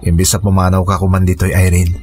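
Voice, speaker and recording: Young activist in love, lower register, Ilocano accent, sincere radio drama delivery. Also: male voice, completely flat delivery, quick pace, darkish tone. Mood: earnest. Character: earnest young activist man named Noel, tenderly in love. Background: music under the dialogue.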